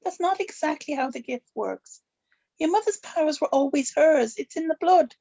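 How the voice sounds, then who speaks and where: A woman's voice: high voice, lively intonation, moderate pace, vocal fry, mellow, lo-fi sound. A woman playing a stern, evasive old witch grandmother in a drama script table read. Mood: guarded, explaining.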